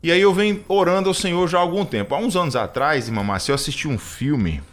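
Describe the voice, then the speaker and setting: A man's voice: a little high, animated, quick pace. Earnest hesitant man in his thirties. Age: 30s. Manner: hesitant, many restarts and fillers, earnest. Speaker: radio program co-host.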